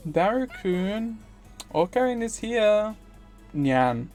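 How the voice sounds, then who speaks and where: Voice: mid-range voice, big pitch swings, takes it slowly, a little mellow, faint music behind, voice pushed up. A streamer voicing a perky, cutesy young female cat maid from a visual novel. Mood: cheerful. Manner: cutesy, with cat sounds in speech.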